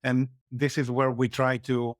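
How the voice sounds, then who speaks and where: A man from Argentina, a podcast guest, who lays out his answers in measured, orderly steps. His voice sits in a middle pitch, and the sound is dry and close. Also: monotone, dry recording. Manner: measured, lays out points step by step. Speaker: interview guest, security executive. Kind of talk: casual interview chat.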